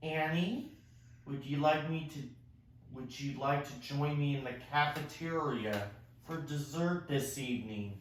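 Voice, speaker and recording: A woman's voice: sits low, unhurried. A woman reading the part of a shy, polite young man courting a fellow patient, carefully, at a musical table read. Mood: shy, hopeful. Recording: very echoey room, distant mic, faint noise.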